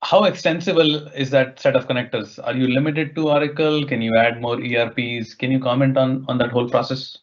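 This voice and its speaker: A man's voice: medium pitch, steady tone, a little fast, hardly any pauses. A man hosting an online session, politely and appreciatively relaying questions in a conversational way. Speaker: webinar host and moderator. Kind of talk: conversational moderating, asking questions. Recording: slightly dull online-meeting audio.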